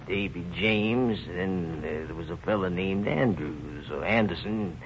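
An actor playing a nervous, evasive husband who feigns innocence with hesitant stammering, in old-time radio crime drama style. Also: male voice, deep voice, unhurried. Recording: muffled, noisy old radio recording.

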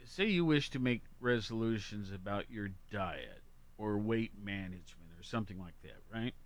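A man co-hosting a casual talk, reading humorous lists aloud deliberately and emphatically, with offhand asides. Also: lowish voice, lively intonation. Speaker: talk show co-host.

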